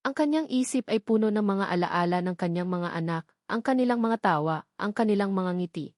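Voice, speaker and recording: A woman's voice: medium pitch, a little mellow, dry recording, studio quality. A woman with a Filipino accent reading a dramatic romance story as a scripted narration.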